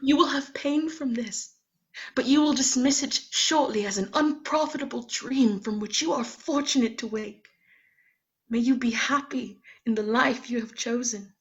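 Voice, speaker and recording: An actress playing a ghostly guide who is also a young woman named Belle, speaking in a calm, measured, gently reproachful way in a radio play. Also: on the high side, lo-fi sound, close to an everyday voice. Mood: sorrowful.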